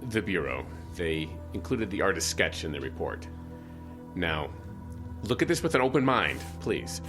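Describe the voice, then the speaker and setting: An actor playing a weary, reluctant small-town lawman in an audio drama, speaking in a measured, hesitant, plain-spoken way. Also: low voice, sing-song, music in the background. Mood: uneasy.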